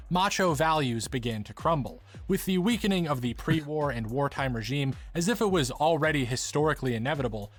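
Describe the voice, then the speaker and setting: A man with a mid-pitched voice narrates a video essay. His delivery is scripted, like a documentary, with articulate and formal phrasing throughout.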